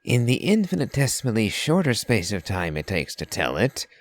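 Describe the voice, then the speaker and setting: A man's voice: fairly low pitch, wildly swooping pitch, normal speed. A man reading game dialogue aloud and giving casual commentary as a streamer.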